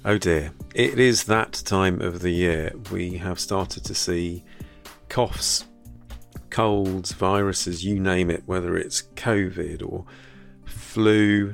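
Deep gravelly doctor. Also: male voice. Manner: conversational, thoughtful, with frequent fillers and restarts. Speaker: doctor and podcast host. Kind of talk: solo educational podcast talk.

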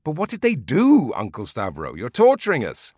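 A man's voice: mid-range voice, wildly swooping pitch, normal speed, darkish tone, dry recording, clean studio sound, voice pushed up. A man voicing an exasperated, impatient male listener in a storybook, pleading urgently to hear the end.

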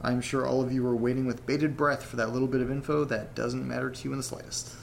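An actor playing a rattled young man who rambles haltingly through a personal audio diary in a self-deprecating way. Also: mid-range voice, little pitch movement, creaky, slight background noise, close to an everyday voice. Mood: wistful, wry. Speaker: narrator character of a horror audio drama. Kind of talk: confessional audio diary monologue, conversational.

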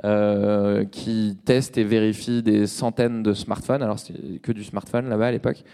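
A man's voice: on the low side, flat delivery, moderate pace, dark tone, clean tone, long reverb tail. A man in his thirties from France talking casually and informally in an interview, with slangy, hesitant phrasing. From Nantes, France. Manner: informal and slangy, hesitant with many trailing tags. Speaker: startup co-founder, podcast guest.

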